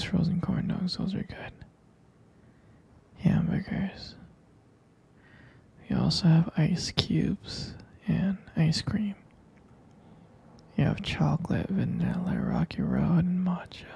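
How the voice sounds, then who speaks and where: An ASMR roleplayer speaks as a doting sweetheart in a very husky, half-whispered murmur. The voice is heavy with gravelly fry and lingers slowly over hesitant, affectionate phrases. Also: mid-range voice, dark tone. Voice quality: breathy. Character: tender, doting vampire lover soothing a sweetheart. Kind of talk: intimate ASMR romantic roleplay.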